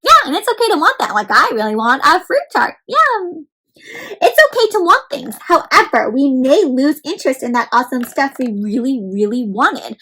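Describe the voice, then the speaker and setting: A woman's voice: high voice, big pitch swings, normal speed, bright, forceful tone. A woman hosting an interactive picture-book read aloud for children, talking directly to them and asking encouraging questions.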